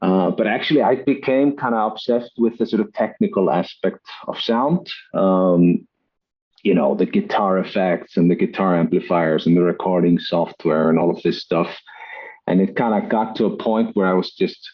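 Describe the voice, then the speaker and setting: A man from Iceland, presenting his work on a podcast in a relaxed, self-deprecating way with many fillers. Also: deep voice, moderate pace, husky, lo-fi sound. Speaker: guest, acoustics company head.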